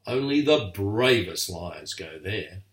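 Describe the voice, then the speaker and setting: An older man voicing a scheming, jealous lion uncle who speaks slowly with a low sneer. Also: lively intonation. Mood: sly. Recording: echoey room, far from mic.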